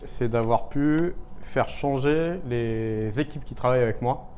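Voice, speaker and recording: A man working as a project manager, relaxed and lightly amused as he talks in a testimonial interview. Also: mid-range voice, measured pace, a little hiss or hum.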